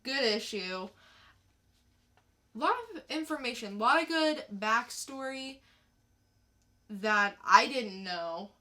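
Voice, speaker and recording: Slow-paced, bright-voiced woman podcast co-host. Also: a little high, lively intonation. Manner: plain-spoken, informal. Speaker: podcast co-host reviewing comics. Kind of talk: casual plot recap and review.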